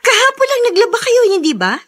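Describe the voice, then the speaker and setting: An actress playing an anguished, suspicious young wife in a melodramatic Filipino radio drama, with a tearful, emphatic manner. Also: female voice, very high voice, huge pitch swings, fast, a bit bright, exaggerated voice. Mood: suspicious confrontation.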